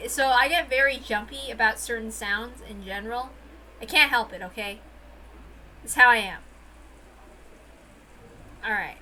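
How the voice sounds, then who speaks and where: Bright, forceful woman reading aloud. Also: a little high, a little hiss or hum, low-quality recording. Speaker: narrator and reader. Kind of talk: dramatic amateur read-aloud of a visual novel with casual asides.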